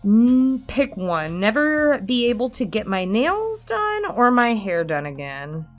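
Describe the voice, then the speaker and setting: A woman's voice: fairly high pitch, sing-song, takes it slowly, soft background music. A woman casually chatting through a question-and-answer session, candid and self-deprecating, with a freely sweary way of talking. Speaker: online creator answering subscriber questions. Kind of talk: casual Q&A chat.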